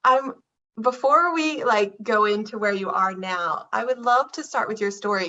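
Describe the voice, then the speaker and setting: A woman's voice: medium pitch, sharp tone, lo-fi sound. Enthusiastic woman hosting an interview. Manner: enthusiastic, gushing, with "like" and "um" fillers. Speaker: host, photographer. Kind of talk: interview host.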